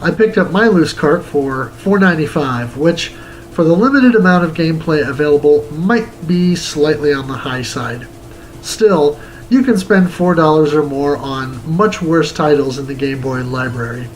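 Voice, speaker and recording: A man's voice: on the high side, moderate pace, soft background music, rough recording. A man reading a scripted video game review as the show's host.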